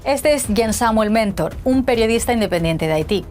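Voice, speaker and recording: A woman's voice: on the high side, normal speed, soft background music. Polished woman narrating a documentary. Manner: clear, even, polished delivery. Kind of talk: scripted narration.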